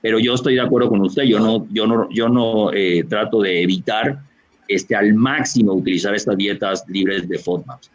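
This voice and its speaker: A gastroenterologist from Colombia with a Barranquilla accent, explaining a medical answer over a video call, with frequent fillers and restarts. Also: male voice, fairly low pitch, moderate pace. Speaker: gastroenterologist lecturer. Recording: dull lo-fi video call audio.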